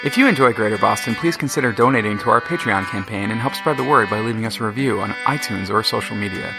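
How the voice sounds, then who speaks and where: A man reads a show's end credits quickly and evenly, in a promotional tone. His voice sits fairly low. Plucked guitar music plays clearly beneath him. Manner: brisk, even promotional reading. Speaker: credits announcer.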